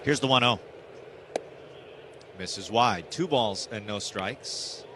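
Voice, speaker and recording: A man's voice: fairly low pitch, takes it slowly, noisy background. A man doing live radio play-by-play commentary of a baseball game from a broadcast booth.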